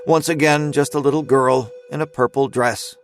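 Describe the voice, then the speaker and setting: A man narrating a scripted dramatic story as its storyteller, in a measured, suspenseful manner. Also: mid-range voice, steady tone, creaky, music in the background, natural voice.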